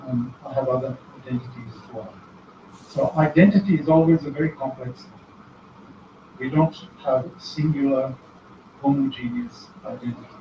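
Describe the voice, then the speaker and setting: A man's voice: fairly high pitch, a little hiss or hum. Man lecturing in an echoey hall. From former British colony. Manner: reflective, ironic, many pauses and restarts. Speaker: guest lecturer. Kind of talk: academic talk. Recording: echoey hall, distant mic, dull.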